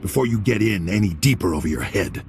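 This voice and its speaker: An actor playing a hardened veteran soldier turned rogue in a video game cutscene, talking in curt, blunt military phrases. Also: male voice, low voice, animated, fast talker, a little mellow, a little hiss or hum.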